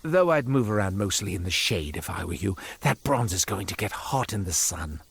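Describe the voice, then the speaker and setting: Casting: a cursed game-world merchant, florid and wry, melodramatic, very animated pitch. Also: male voice, moderate pace, vocal fry, breathy edge, full character voice. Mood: showy sales patter. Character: theatrical, self-pitying cursed merchant, former quartermaster. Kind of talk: scripted video game character monologue.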